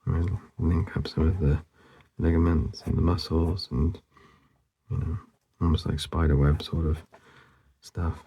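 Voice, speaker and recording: A man narrating casually as he draws, laid-back and murmuring, with a husky, gravelly voice that often trails off. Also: rumbling low, level tone, measured pace, mellow. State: losing his voice, hoarse. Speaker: artist hosting a drawing channel. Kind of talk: casual narration while drawing.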